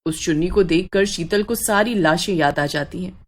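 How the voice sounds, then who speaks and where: A woman reading scripted horror narration as a dramatic, measured storyteller. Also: fairly low pitch.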